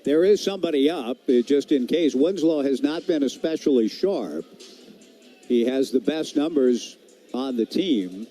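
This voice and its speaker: A man's voice: medium pitch, expressive, a little slow, dark tone, faint music behind. A man doing live radio play-by-play of a baseball game in clipped, descriptive phrases. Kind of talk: live radio sports commentary.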